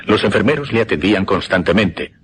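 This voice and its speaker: An actor playing an intense mesmerist who recounts a macabre experiment in a suspense radio drama, with a Castilian accent and deliberate, emphatic delivery. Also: male voice, on the low side, expressive, a bit bright, slight background noise. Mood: solemn, deliberate. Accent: Castilian Spanish.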